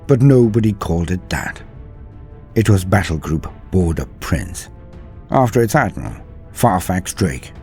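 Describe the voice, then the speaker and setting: Deep, solemn male storyteller. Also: mellow. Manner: solemn, theatrical, deliberate pauses. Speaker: storyteller and narrator. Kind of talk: dramatic scripted story narration. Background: faint music.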